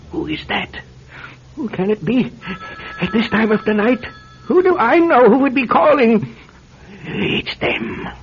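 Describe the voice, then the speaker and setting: High-voiced, frightened old recluse. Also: male voice, gravelly, a little hiss or hum, heavily acted. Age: older. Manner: muttering to himself, halting, dramatic exclamations. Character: old frightened, paranoid, secretive male recluse. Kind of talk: old-time radio drama acting. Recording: dull lo-fi radio drama recording.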